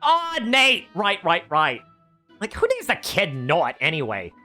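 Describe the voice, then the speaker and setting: A woman's voice: lowish voice, wildly swooping pitch, unhurried, pushed and bright, soft background music, pitched above the performer's own voice, full character voice. A woman voicing a dramatic, impatient female stylist in her thirties who rants theatrically. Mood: teasing. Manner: sassy, ranting, theatrical.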